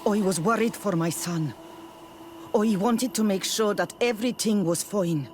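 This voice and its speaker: A woman playing a guarded, protective village mother in a video game, earnest, worried and deliberate in her plain speech. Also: mid-range voice, noisy background, lightly acted. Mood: worried, resigned. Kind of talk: video game dialogue acting.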